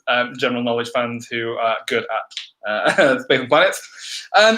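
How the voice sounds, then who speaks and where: Bright-voiced quiz host, crackly. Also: male voice, a little high. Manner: chatty, many ums, brisk instructions. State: crackly voice, clearing throat. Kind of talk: live-stream housekeeping announcements.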